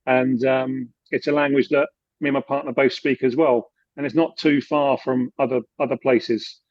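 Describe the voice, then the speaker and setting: A man from the UK chatting in an interview, relaxed, with many fillers and restarts. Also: mid-range voice, moderate pace, no room sound, dull audio. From United Kingdom. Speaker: guest, expat finance expert. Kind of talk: casual interview conversation.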